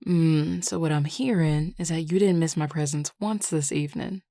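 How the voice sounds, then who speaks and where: Woman playing a low-voiced Southern werewolf flirt. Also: normal speed, mellow, no echo, clean studio sound. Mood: playful, flirty. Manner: teasing drawl with colloquial phrasing. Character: flirtatious, teasing Southern werewolf, relaxed and affectionate. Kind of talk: audio roleplay acting.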